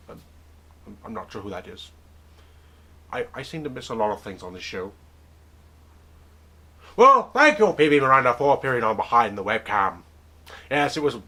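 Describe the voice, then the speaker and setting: A man's voice: medium pitch, a bit bright. A man hosting a comedic webcam interview in a put-on accent, mock-formal and rambling, often questioning himself.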